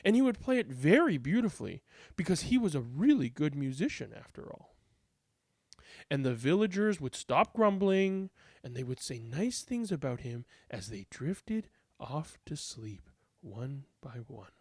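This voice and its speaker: A woman's voice: deep voice, big pitch swings, measured pace, a little mellow, slightly rough, no echo, clean studio sound. A woman reading a children's storybook aloud as narrator, with a dramatic, comic, emphatic delivery.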